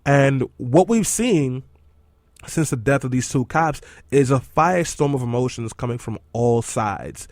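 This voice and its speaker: Hoarse man hosting a radio show. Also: mid-range voice, animated, a little slow, darkish tone, no room sound. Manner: teasing, then earnest about news. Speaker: radio host and engineer. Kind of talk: casual radio talk show chat. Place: radio studio. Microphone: studio microphone.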